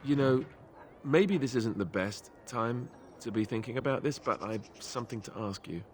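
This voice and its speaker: A man's voice: mid-range voice, lively intonation, normal speed, slight background noise, lightly acted. A man playing a hesitant young warrior who confides in a friend, in fantasy video game dialogue. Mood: hesitant, confiding.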